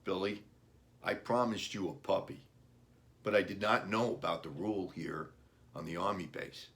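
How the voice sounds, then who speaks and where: A man reading as a low-voiced apologetic father. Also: moderate pace, a bit bright. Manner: earnest, explaining. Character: apologetic, earnest father of young boy. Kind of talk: children's storybook reading.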